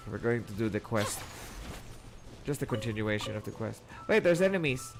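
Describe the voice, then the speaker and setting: A man's voice: on the low side, animated, moderate pace. A man doing casual, chatty livestream commentary while playing a game, in a playful way. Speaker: game streamer. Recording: game music behind.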